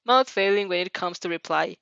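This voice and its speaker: A woman narrating fan fiction in an animated, informal way, stumbling now and then as she reads. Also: on the low side, fairly quick, gravelly, clean tone, no echo, dull audio. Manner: giggly, stumbles over reading.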